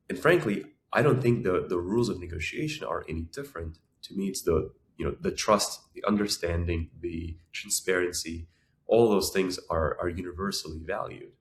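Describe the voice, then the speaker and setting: A man with a very low voice who grew up in Ukraine and Israel with Russian and Ukrainian as first languages. He talks as an interview guest, explaining his points in a measured, conversational way and often repeating words for emphasis. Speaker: podcast guest, entrepreneur.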